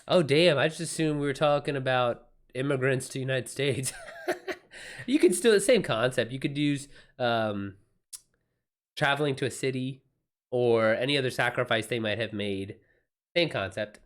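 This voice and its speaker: A man's voice: mid-range voice, moderate pace. Casual, slangy man chatting on a livestream. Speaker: live streamer and author answering viewer questions.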